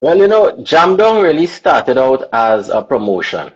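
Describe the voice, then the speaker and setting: A man from Trinidad with a Trinidadian accent, an artist manager speaking in a relaxed, slow way. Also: mid-range voice, lo-fi sound. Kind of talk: casual interview chat.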